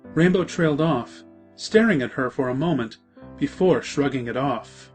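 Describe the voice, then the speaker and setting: Male story narrator over clear music. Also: mid-range voice, normal speed.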